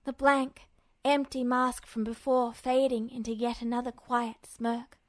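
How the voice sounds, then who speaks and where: A woman reading scripted fiction aloud as an expressive audiobook-style narrator. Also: a little high, measured pace, no room sound, clean studio sound.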